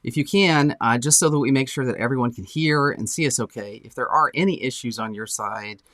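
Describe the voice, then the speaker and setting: A man's voice: mid-range voice, fairly quick, a bit bright, dry recording, clean studio sound. Upbeat man co-hosting a morning show. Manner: upbeat, chatty, frequent fillers. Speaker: co-host of a local morning show. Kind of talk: casual morning show chat.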